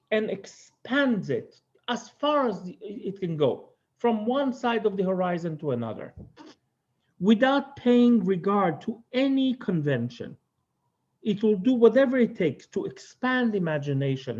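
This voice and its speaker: A high-pitched man with an Israeli accent leads a study session, speaking earnestly and thoughtfully and pausing often. The recording sounds dull and lo-fi. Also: a little mellow. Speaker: teacher. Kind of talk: text study lecture.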